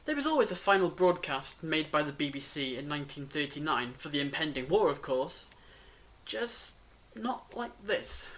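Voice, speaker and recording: An actor playing a knowing time traveller in an audio drama, speaking in a measured, grave, explanatory way. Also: medium pitch, sing-song, fairly bright tone. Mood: measured, explaining.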